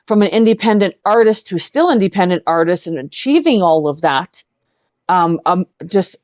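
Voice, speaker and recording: A woman's voice: fairly low pitch, lively intonation, normal speed, a little mellow, no echo. Effusive woman, dull lo-fi sound. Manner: effusive, earnest, repeats words for emphasis. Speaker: interview guest, singer-songwriter. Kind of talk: casual chat, personal storytelling. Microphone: video call. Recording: dull, lo-fi online call audio.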